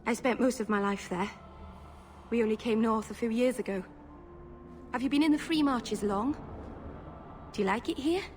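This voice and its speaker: A woman's voice: on the high side, moderate pace, long pauses, a little hiss or hum, close to an everyday voice. A woman voicing an earnest young female elf mage in a video game, hesitant and apologetic, tending to babble and interrupt herself. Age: young adult.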